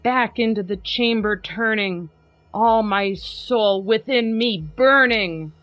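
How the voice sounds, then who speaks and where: A woman podcast host giving a dramatic poetry reading, trading lines with a co-reader in a measured way. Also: medium pitch, no room sound. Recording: slightly muffled with faint music.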